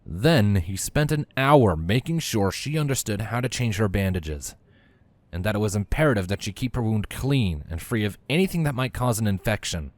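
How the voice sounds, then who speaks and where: A man with a fairly low voice narrates a piece of fan fiction. He reads from a script as a storyteller. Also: lively intonation, normal speed, darkish tone, no room sound.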